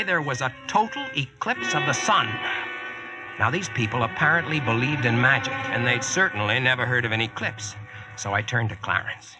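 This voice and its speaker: A man's voice: mid-range voice, close to an everyday voice, poor audio. A man acting a brash, slangy modern American stranded at King Arthur's court in a 1940s radio drama. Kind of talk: 1940s radio acting with first-person narration. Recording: vintage 1940s radio recording, music behind.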